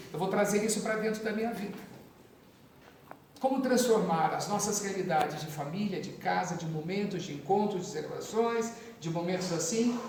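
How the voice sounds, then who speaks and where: A Brazilian priest with a São Paulo accent teaches catechists in an animated, chatty way, full of asides and jokes. He stands well back from the mic, and his voice rings through a very echoey parish hall. A faint hiss sits under it. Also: high voice, far from the mic. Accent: Brazilian Portuguese, São Paulo. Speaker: priest giving catechist training.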